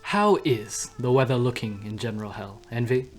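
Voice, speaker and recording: A man's voice: mid-range voice, animated, normal speed, close to an everyday voice. Suave, sardonic demon radio host voiced by a man. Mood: breezy, sardonic. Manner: suave, mock-polite, sardonic. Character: smug, theatrical male demon radio host of hell. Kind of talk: scripted comedic radio broadcast. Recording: music under the voice.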